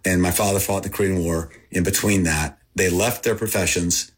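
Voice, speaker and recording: A man raised in Thailand with Thai as his first language, giving an earnest, halting personal testimony as an interview guest. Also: low voice, steady tone, husky. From Texas, raised in Thailand. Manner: earnest, halting, repeats words when emotional.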